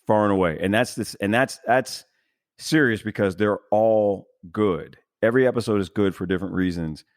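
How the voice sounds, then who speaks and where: A man's voice: on the low side, normal speed, no echo, studio quality. A man hosting a casual podcast interview, talking in an effusive, rambling way. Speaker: podcast host.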